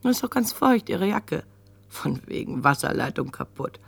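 A brusque shop woman in a radio drama. Her voice is low, with a lot of gravel, and she pries and mutters in blunt everyday speech. The sound is dry. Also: sing-song, normal speed, no room sound, exaggerated voice. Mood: nosy, teasing. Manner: blunt, colloquial, prying, muttering to herself. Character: brusque, nosy, heavy-set female kiosk keeper. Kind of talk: German radio drama acting.

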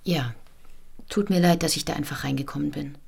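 A woman's voice: sits low, a little fast, gravelly, husky, exaggerated voice. An actress playing a polite, deferential woman assistant in a German radio play. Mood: polite, subdued.

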